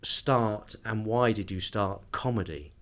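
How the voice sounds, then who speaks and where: A man's voice: fairly low pitch, slow, fairly bright tone. A man hosting an interview, chatting casually and often stumbling into restarts and repeated words. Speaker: interviewer and channel host.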